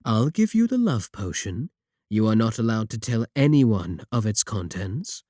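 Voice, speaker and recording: A man playing a sly, sadistic warlock in a roleplay audio drama, smug and possessive, with taunting, deliberate phrasing. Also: lowish voice, no echo, clean studio sound. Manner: taunting, drawn-out, deliberate phrasing with dark chuckles. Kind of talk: scripted roleplay audio drama, spoken to the listener.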